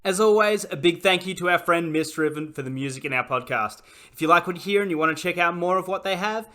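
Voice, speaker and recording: A man reading a scripted podcast outro in an even, read-out way. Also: on the high side. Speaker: podcast announcer.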